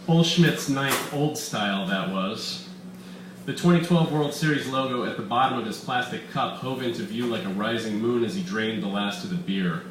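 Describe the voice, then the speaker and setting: A man reading his own story aloud with deliberate, dramatic emphasis at a live literary reading in an echoey bar room. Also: fairly low pitch. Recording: echoey room, distant mic, faint background noise.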